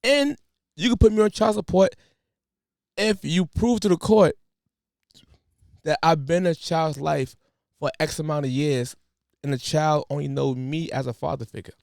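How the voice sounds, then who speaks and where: A man's voice: fairly high pitch, normal speed, creaky, long pauses, no room sound, studio quality. Slangy ranting man on a podcast. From United States. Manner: animated, preachy, colloquial slang. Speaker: podcast host. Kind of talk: casual podcast rant.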